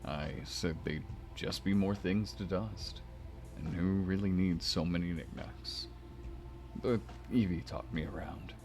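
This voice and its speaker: Man acting older male teacher, low creaky voice, gentle, wistful, wordy, visual novel character, music behind. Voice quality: deep. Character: kindly, bemused older male former teacher, wistful widower.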